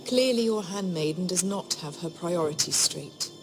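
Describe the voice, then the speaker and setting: A haughty, menacing queen from a fantasy game, acted by a woman. Her voice sits fairly low for a woman, and she speaks with measured, condescending formality while music plays clearly beneath her. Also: close to an everyday voice. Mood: probing disdain. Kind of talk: dramatic fantasy game acting.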